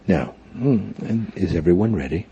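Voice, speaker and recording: Very deep-voiced mellow man guiding meditation. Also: lively intonation, gravelly, muffled recording, a little hiss or hum. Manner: formal, deliberate phrasing punctuated by frequent hums. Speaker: guided meditation leader. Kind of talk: guided meditation instruction before a live group.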